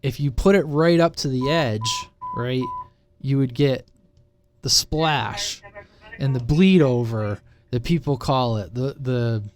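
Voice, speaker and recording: A man's voice: mid-range voice, slow, slight background noise. A man giving a casual, unscripted technical explanation in an informal, conversational way, often checking that listeners follow. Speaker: presenter of a CB radio explainer channel.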